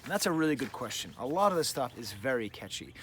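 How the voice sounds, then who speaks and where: A man's voice: mid-range voice, sing-song, fast talker, fairly bright tone, slight background noise. A middle-aged man hosting a casual album review, talking in a rambling, chatty way full of "like" and "you know", outdoors with birds nearby. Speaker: music reviewer, show host.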